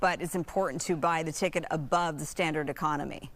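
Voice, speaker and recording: A woman's voice: low-pitched, steady tone, fast. An American woman anchoring a live TV news interview, asking questions in a conversational way.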